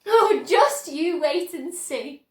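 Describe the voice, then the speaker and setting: Woman playing an eager, high-voiced young boy. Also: expressive, slow, distant mic, heavily acted. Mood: gleeful. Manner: exclamatory, eager, gushing. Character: excited young boy, breathless with joy and eagerness. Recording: roomy, echoing indoor sound.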